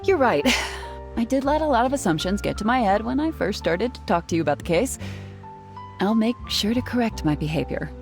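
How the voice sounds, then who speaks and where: Brisk game investigator, played by a woman, very animated intonation, defensive edge, faint music underneath. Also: medium pitch, natural voice. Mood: defensive amusement. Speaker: investigator character. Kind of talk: video game story voice acting.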